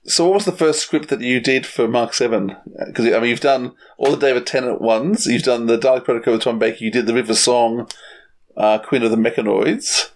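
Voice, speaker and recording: A male podcast host with a medium-pitched voice. He speaks conversationally, as an interviewer putting questions to a guest. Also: brisk.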